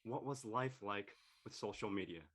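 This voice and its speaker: Guy acting as teen son, lowish voice, fed up and sarcastic with the family. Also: moderate pace, natural voice. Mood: snarky. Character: exasperated, snarky teenage son doing homework.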